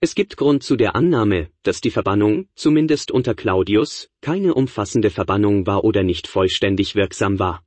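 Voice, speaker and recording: Husky, measured male lecturer. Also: on the low side, expressive, darkish tone, no room sound. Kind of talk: lecture on early church history.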